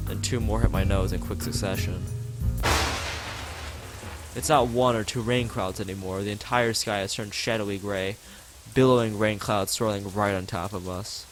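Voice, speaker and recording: A man streaming a visual novel, reading its text aloud and often stumbling over words and rereading phrases. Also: lowish voice. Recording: loud music and rain behind the voice. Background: game music and rain sound effects.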